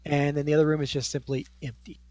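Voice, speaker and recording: A game master narrating scenes and outcomes in a tabletop role-playing game, describing rooms in an unhurried, descriptive way. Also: medium pitch, little pitch movement, dark tone.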